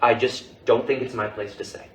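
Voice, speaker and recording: A man's voice: mid-range voice, steady tone, moderate pace, close to an everyday voice. A man acting an awkward, mild but stubborn father in a naturalistic play reading. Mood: hurt, restrained. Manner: hesitant, dryly understated. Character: awkward, mild, gently stubborn middle-aged dad. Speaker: actor in a staged reading. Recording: echoey room, distant mic, faint noise.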